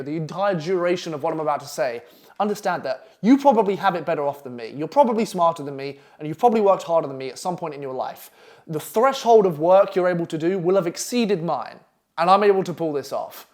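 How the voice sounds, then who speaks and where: Brash, fast-talking Essex man. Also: fairly high pitch, lively intonation, a little mellow. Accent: Essex English. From England. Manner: brash, profane, pushy. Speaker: copywriting coach. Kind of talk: online masterclass presentation. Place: London flat.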